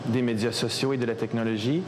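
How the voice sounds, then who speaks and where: A man, speaking in a measured documentary testimony with hesitant fillers. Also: mid-range voice, steady tone, background noise. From Saskatchewan. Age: 20s. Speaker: interviewee. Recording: music under the voice.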